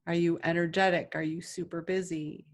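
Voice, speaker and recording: A woman leading a guided meditation as a teacher, in a gentle, soothing, instructive way with long pauses between phrases. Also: on the low side, level tone.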